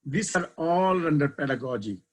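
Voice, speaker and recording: A man's voice: a little high, animated, moderate pace. An older man with a Bangladeshi accent explaining material in a webinar lecture, emphatically repeating key terms in simple conversational phrasing. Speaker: university educator, keynote speaker.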